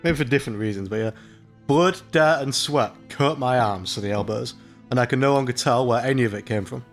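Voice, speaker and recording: A man's voice: mid-range voice, a little fast, faint music behind. Laughing man reading a game. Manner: swearing, laughing, reads narration with jokey asides. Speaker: let's play host. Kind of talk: casual let's play banter, reading game text aloud.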